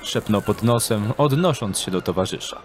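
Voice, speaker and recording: A man's voice: on the low side, animated, moderate pace, slight background noise. A man reading scripted narration for an audio drama as its storyteller. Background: background music and street ambience.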